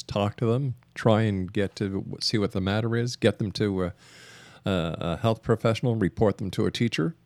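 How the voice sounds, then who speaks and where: A man with a fairly low voice works as a radio interview host. He poses questions conversationally, and the sound is dry, without room echo. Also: a little mellow.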